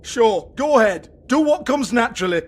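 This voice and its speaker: A man's voice: very high-pitched, expressive, normal speed. A man playing a foul-mouthed, irritable British strip club owner in a video game, sarcastic and exasperated as he swears. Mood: irritated, threatening.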